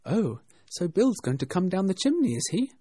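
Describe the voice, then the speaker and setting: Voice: medium pitch, sing-song, normal speed, warm tone, slightly rough, no room sound, clean studio sound, voice pushed up. A narrator voicing a curious, plucky young girl musing to herself in a storybook reading.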